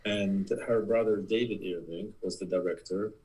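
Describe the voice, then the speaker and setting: Low husky Polish-accented man. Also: steady tone, a little mellow, low-quality recording. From Poland. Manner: halting, many fillers and restarts. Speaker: guest, production designer. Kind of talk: casual interview.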